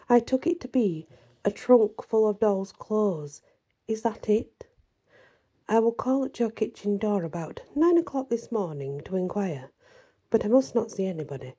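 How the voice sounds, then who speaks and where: A woman reads a story aloud at a measured, gentle pace. Her voice is soft and very dark in tone, with a breathy huskiness. She sounds like a storyteller reading to a listener. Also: mid-range voice. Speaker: channel host and story reader.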